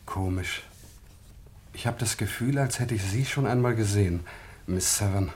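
An actor playing a genial, courteous country husband with a wry, slightly hesitant manner in a German radio play. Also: male voice, fairly low pitch, normal speed, darkish tone, a little hiss or hum, natural voice. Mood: curious.

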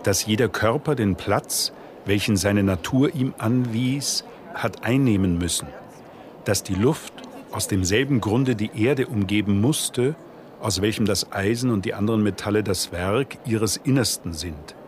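A man with a fairly low voice narrates a radio drama. He recites dense literary prose in a solemn, measured manner, as scripted reading. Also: a little hiss or hum.

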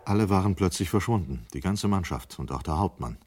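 An actor narrating a dystopian radio play in a grave, sober, measured way. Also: male voice, deep voice, expressive, a little mellow, slightly rough. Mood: sober, ominous.

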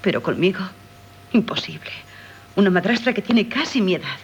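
Casting: actress for emotional young woman longing for freedom, vintage radio play, medium-pitched, Castilian accent, theatrical delivery. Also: expressive, moderate pace, muffled recording, faint music behind, lightly acted. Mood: suspicious, reproachful. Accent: Castilian Spanish. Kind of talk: 1970s Spanish radio theatre acting. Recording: old radio broadcast, background noise.

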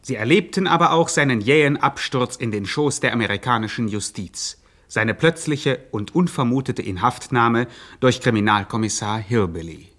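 Actor as pompous mid-pitched gangster-epic narrator. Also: male voice, moderate pace, fairly bright tone, natural voice. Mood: mock-solemn. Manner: pompous, theatrical, ornate. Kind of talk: mock-solemn scripted radio narration.

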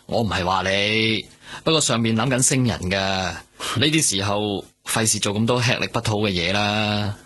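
A man's voice: fairly low pitch, normal speed, sharp tone. A man acting a cautious, exasperated police superior in a radio drama, grumbling in a Hong Kong Cantonese accent. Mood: wary, exasperated. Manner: grumbling, admonishing. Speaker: actor.

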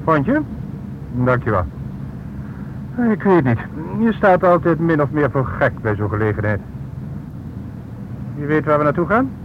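A man acting in a radio drama as a wealthy, formal, self-important titled gentleman who speaks in measured, oratorical phrases. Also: fairly high pitch, expressive. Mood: businesslike, self-satisfied. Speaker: actor. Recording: muffled, slightly noisy old radio recording.

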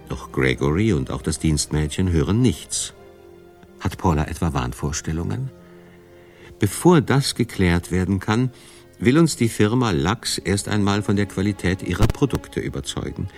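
A man's voice: sits low, darkish tone. A man giving scripted narration as the narrator of a radio documentary.

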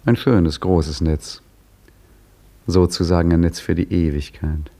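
A wry, ironic storyteller in an old radio play, his voice somewhat low and slightly husky. He tells his own tale with dry theatrical relish. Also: fairly even intonation, unhurried, mellow, exaggerated voice. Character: Hakim, wry storyteller looking back on his youth, lively and ironic. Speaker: narrator and protagonist. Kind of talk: 1950s radio play acting.

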